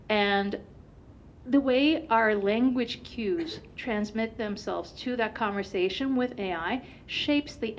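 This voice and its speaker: A woman whose first language is Turkish, giving a conference presentation in an animated way with many fillers. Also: mid-range voice, a little hiss or hum.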